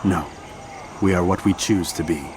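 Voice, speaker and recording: An actor playing a stoic, proud assassin in a video game, terse, firm and earnest. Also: male voice, low voice, moderate pace, natural voice. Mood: solemn, resolute. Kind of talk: dramatic video game acting. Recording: clear background noise.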